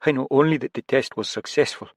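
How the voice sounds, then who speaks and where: A man's voice: medium pitch, fast, no echo, clean studio sound, pitched above the performer's own voice, lightly acted. A man voicing an anguished man freed from a demon, sobbing and screaming his pleas. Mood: earnest.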